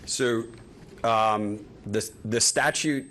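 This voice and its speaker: A man's voice: lowish voice, very slow, fairly bright tone, slight background noise. A man on county staff answering a commissioner's question at a hearing, hesitant with many fillers and restarts. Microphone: podium microphone.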